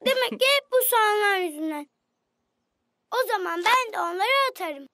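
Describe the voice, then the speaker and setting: Very high-pitched cheerful little girl. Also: lively intonation, moderate pace, dry recording, full character voice. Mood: playful, curious. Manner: bright-eyed, earnest, playful. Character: cheerful, tender-hearted, imaginative little girl. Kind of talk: children's cartoon voice acting. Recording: children's music behind the voice.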